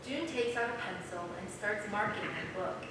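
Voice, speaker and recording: A woman's voice: mid-range voice, animated, normal speed. A young woman reading scripted stage directions aloud at a play reading. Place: small theater stage. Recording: very echoey, noisy theater, distant mic.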